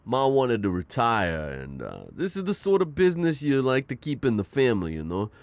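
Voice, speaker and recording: A man's voice: medium pitch, huge pitch swings, normal speed, no room sound. Man acting a smitten, lilting mafia boss. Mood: fond, reminiscing. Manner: hesitant, rambling, flirtatious murmur. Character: smitten, charming mafia boss courting a date. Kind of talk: ASMR roleplay acting.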